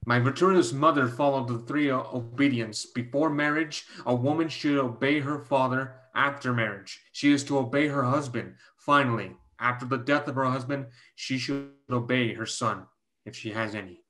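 Solemn protective guardian, mid-pitched man acting. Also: moderate pace, a bit bright, natural voice. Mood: solemn, insistent. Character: stern protective Chinese man, older guardian of a girl. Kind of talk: staged play reading. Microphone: video call.

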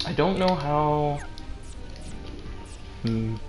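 A man's voice: fairly high pitch, animated, extremely slow. A man casually chatting as he streams a video game, swearing freely. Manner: casually profane. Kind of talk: casual gaming chat with co-host. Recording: roomy echo, music behind. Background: video game music.

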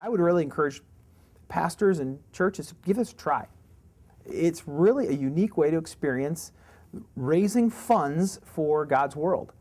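A man in his thirties, a pastor, speaking in a halting, faith-filled way while seated on a living-room sofa. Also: on the high side, lively intonation, darkish tone. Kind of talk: testimonial interview.

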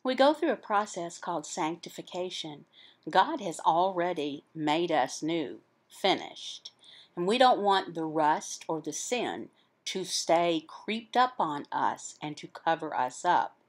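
A woman voicing an interpreted sermon as explanatory teaching, in short, halting phrases with pauses between them. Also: fairly low pitch, animated.

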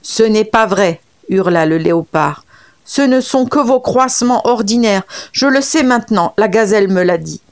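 A woman's voice: mid-range voice, lively intonation, normal speed, darkish tone, lightly acted. A woman voicing a frustrated leopard who keeps demanding his money. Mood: furious. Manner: complaining, demanding. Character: hungry leopard creditor, frustrated and angry.

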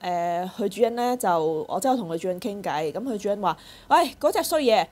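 A female co-host with a Hong Kong Cantonese accent shares stories in relaxed conversation. Her intonation is very varied and she voices the people in her stories at a medium pitch. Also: fairly quick. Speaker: podcast co-host. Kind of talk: casual chat, personal storytelling.

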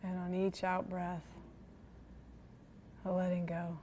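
A woman with a lowish voice leads a guided meditation, speaking gently and soothingly. Her intonation stays very flat and steady, with long pauses between phrases. There is noticeable background noise in the recording. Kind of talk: guided body scan meditation.

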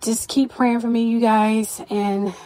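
A tired, stressed woman hosting her own podcast, talking candidly to listeners in a casual personal update, her voice a little hoarse. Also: a little high, level tone, a little slow, mellow. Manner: candid, weary, stressed, with frequent "you know". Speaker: podcast host.

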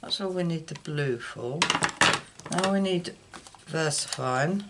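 An older woman giving an unscripted card-making demonstration, haltingly thinking aloud as she works at a table indoors. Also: deep voice. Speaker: craft tutorial host. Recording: clear background noise, clicks.

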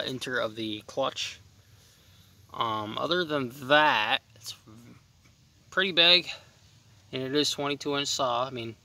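A man's voice: mid-range voice, bright, forceful tone. A young man hosting a casual outdoor vlog, chatting easily and repeating words for emphasis, recorded outdoors in a backyard on a nearby camera mic. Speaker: vlog host. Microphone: camera mic on a tripod nearby.

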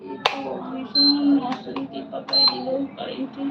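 High voiced lady chatting on a live, repeats herself, muffled lofi audio w lots of noise. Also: monotone, measured pace, creaky. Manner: familiar, chatty, often repeats her phrases. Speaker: livestream host. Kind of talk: casual live chat, reading and answering viewer comments. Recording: roomy, dull lo-fi sound, noisy background.

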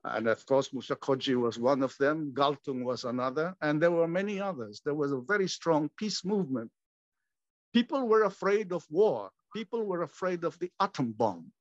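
An older man speaking at a memorial, measured and earnest, pausing often, talking from a small room at home over a video call. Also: fairly high pitch, expressive, no room sound. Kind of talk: slow reflective remembrance. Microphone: video call webcam. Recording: dull lo-fi video call audio.

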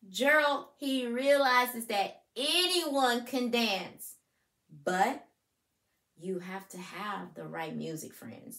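A woman's voice: a little high, a bit bright. A woman in her thirties who speaks expressively to young listeners as friends, as a children's storybook host. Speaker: school counselor and read-aloud host. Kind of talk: animated children's storybook read-aloud and introduction. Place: furnished room at home, indoors.

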